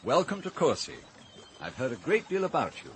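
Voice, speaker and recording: An actor playing a genial gentleman host of a castle in a British radio drama, welcoming and courteous, with a British accent. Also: a little high, wildly swooping pitch, fairly quick, slight background noise. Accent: British English.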